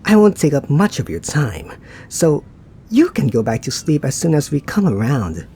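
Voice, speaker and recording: A man playing a suave, teasing, laid-back young knight in an ASMR roleplay, talking to the listener in an unhurried, musing way. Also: a little high, huge pitch swings, mellow, slight background noise. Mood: soft, coaxing.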